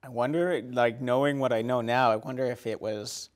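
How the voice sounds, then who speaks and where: A man's voice: medium pitch, steady tone, normal speed. A man in his thirties chatting casually about films as a guest, tentative and agreeable. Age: 30s. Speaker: podcast guest.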